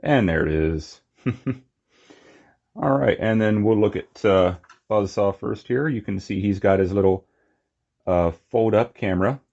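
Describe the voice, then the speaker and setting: A man's voice: lowish voice, slow, gravelly, long pauses, darkish tone, dull audio. A man giving a casual, unscripted hands-on demonstration as a hobby reviewer, with informal muttered asides. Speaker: toy collector and reviewer.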